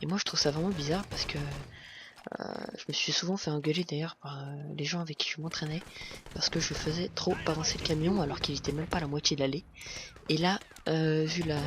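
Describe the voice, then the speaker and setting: A man giving a casual, hesitant voice-over commentary on a game video, with many fillers and restarts. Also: on the high side. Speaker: gameplay commentator. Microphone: home voice recording, self-described as not the best setup. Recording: noisy recording with game gunfire behind. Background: game sounds and gunfire.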